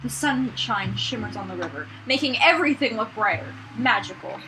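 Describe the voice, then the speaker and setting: High lively girl doing a let's play, jokey, reads dramatically, music clearly behind her. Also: moderate pace, low-quality recording. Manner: joking, animated. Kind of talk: casual chat and dramatic read-aloud of game script. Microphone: not the usual mic, which failed. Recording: game music behind, backup mic.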